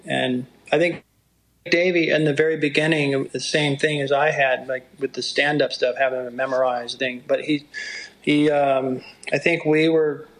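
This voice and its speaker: A middle-aged man with a low voice, talking through stories in an interview and sometimes quoting others in a livelier tone. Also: steady tone, poor audio. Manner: unhurried, storytelling, quotes others in a livelier voice. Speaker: motocross broadcaster. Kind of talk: reflective interview talk.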